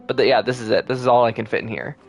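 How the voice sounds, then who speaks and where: A man livestreaming a game, chatting casually with viewers and thinking aloud in a relaxed way. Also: medium pitch, racing. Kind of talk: casual live gameplay chat with viewers. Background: video game music.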